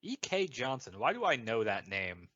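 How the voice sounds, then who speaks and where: Dude bantering on a podcast, wry, bright punchy voice, slightly muffled audio. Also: male voice, mid-range voice, big pitch swings, normal speed. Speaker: podcast co-host. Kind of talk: casual chat.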